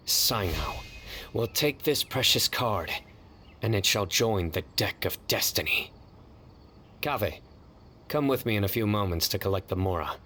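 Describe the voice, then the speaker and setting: A man playing a stern, earnest young officer in an anime video game, speaking in a measured, grandly formal way. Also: lowish voice, sharp tone, a little hiss or hum. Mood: solemn, grand.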